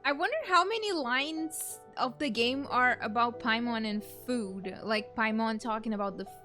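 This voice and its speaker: High-voiced woman streaming a game. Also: moderate pace, bright, forceful tone, soft background music. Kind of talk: casual let's play commentary.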